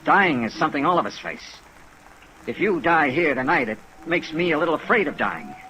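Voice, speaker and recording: A man's voice: a little high, normal speed, slight background noise, low-quality recording. An actor playing an earnest, persistent male investigator in a 1950s radio drama, pleading and persuasive, on a vintage recording. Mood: earnest, insistent. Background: steady rain sounds.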